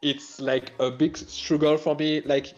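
A French-speaking man chatting in English with a French accent, hesitant and self-conscious, pausing often with fillers and laughing. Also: fairly high pitch, flat delivery, dull audio, faint music behind. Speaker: guest streamer. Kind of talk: casual stream chat.

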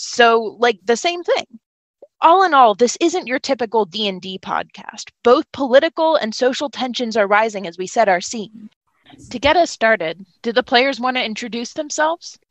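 A woman's voice: a little high, fairly bright tone, no room sound, rough recording. A woman hosting a tabletop game podcast as game master in a playful, theatrical, jokey way.

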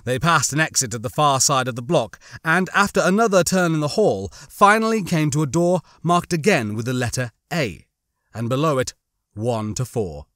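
A man narrating a suspense novel as an audiobook, with measured, dramatic storytelling. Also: on the high side, expressive, a bit bright, dry recording, clean studio sound. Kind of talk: scripted dramatic fiction narration.

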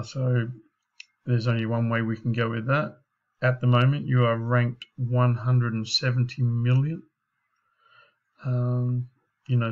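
A slightly muffled, lo-fi recording of a man talking through a website review. He speaks slowly, with little movement in pitch. His delivery is informal and halting, like a consultant explaining over a screen share. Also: darkish tone. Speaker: digital marketing consultant.

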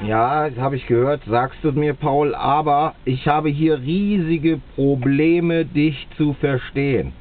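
A man with a German accent making a two-way radio contact, speaking slowly and deliberately and repeating himself. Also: on the high side, steady tone, a little hiss or hum. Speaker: radio operator. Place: indoors at a radio set. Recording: tinny, band-limited camera capture at the radio.

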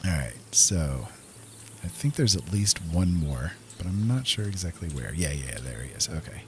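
A man's voice: low-pitched, very animated, normal speed, a little hiss or hum. A man giving casual gameplay commentary as the host of a gaming video, talking directly to viewers.

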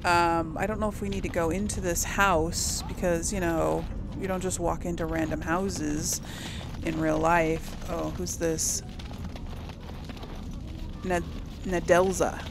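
Slow-talking woman narrating a game. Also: on the low side, level tone, background noise. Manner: chatty, giggly, musing aloud. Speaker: let's play host. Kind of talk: casual game commentary and role play. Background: game music.

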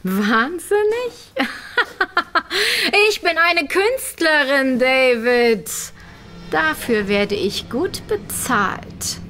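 An actress playing a cold-blooded scientist who is a hired killer, gloating softly and theatrically in an audio drama. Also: female voice, high voice, very animated, a little hiss or hum, heavily acted. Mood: triumphant. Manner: slow, smug, theatrical gloating with soft menacing phrasing.

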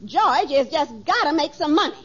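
An actress playing a headstrong, scheming, fed-up wife in an old radio sitcom, firm and emphatic with folksy grammar. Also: female voice, high-pitched, animated, moderate pace, noisy background. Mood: determined. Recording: muffled vintage radio, faint audience.

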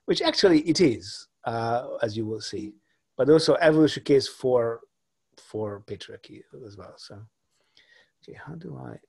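A man in his forties from Hungary, giving an online lecture from a home room over a laptop webcam, talking haltingly with many fillers and restarts. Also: on the high side, a little mellow, husky. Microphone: laptop webcam on video call.

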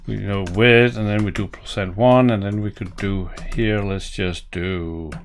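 A man's voice: lowish voice, steady tone, a little slow, a little hiss or hum. A middle-aged man from Denmark with a Danish accent, thinking aloud as he walks through code in a home office. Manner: musing, thinking aloud while typing. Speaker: programming tutorial host. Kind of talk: live coding walkthrough. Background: keyboard typing and clicks.

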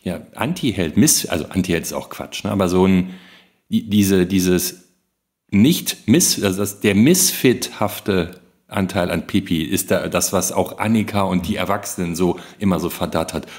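A man's voice: sits low, moderate pace, a little mellow. A man in casual discussion, tentatively correcting himself as he goes. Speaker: podcast panelist.

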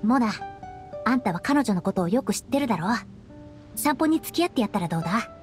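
A woman voicing a brash, tough young woman in a game, talking in a rough, blunt, boyish way. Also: on the high side, very animated, fast talker, soft background music. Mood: bossy. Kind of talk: game voice acting.

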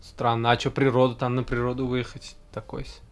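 Young man, host, video call, relaxed, short questions, faint music behind. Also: medium pitch, brisk. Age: young adult. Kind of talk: casual video-call interview. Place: indoor home room.

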